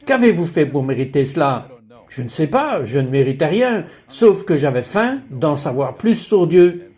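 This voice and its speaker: A man with a slightly high voice reads a dubbed religious interview. He delivers questions and personal testimony as a voice-over. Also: very animated, moderate pace, a little mellow.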